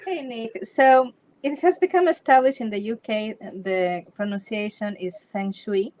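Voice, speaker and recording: A woman's voice: on the high side, a little slow, a little mellow. Woman from Ecuador telling her story. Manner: storytelling with frequent restarts and light laughs. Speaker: Feng Shui consultant, interview guest. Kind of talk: casual interview chat.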